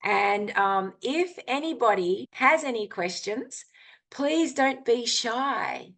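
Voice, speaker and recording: A woman's voice: fairly high pitch, animated, slow pace, fairly bright tone. A woman adventurer giving an earnest, candid talk over a video call, peppered with ums and you-knows. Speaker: guest speaker, adventurer. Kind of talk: informal talk with screen share, then Q&A.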